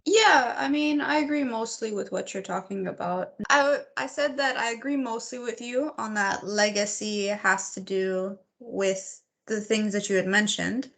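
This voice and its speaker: A woman's voice: mid-range voice, unhurried, few pauses, fairly bright tone. A woman co-hosting a casual chat, thoughtful and sometimes searching for words. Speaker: podcast co-host.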